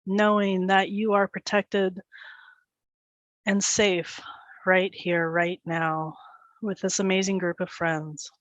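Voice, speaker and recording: A woman's voice: mid-range voice, little pitch movement, no echo, muffled recording. Female meditation leader, mid-range voice, soothing and unforced, phrase-by-phrase guidance with space between, very little room sound. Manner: gentle, soothing instruction in short phrases with pauses. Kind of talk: guided meditation.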